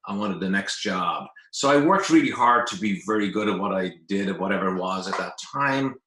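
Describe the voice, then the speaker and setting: A man's voice: low-pitched, expressive, fairly quick, fairly bright tone. A man in his fifties with an Irish accent, telling his personal story as an interview guest in a conversational way. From Ireland. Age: 50s. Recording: roomy, echoing sound.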